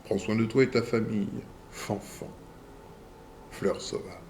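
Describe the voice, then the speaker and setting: A man hosting a video channel. He reads viewer messages aloud and answers them in a deliberate way, in short phrases with pauses. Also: lowish voice, steady tone, noisy background.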